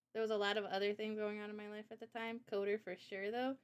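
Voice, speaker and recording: A woman's voice: mid-range voice, flat delivery, moderate pace, clear, resonant tone. A woman chatting casually on a livestream Q&A in a giggly, informal way, as the host. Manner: giggly, laughs often while talking. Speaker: host and visual novel developer.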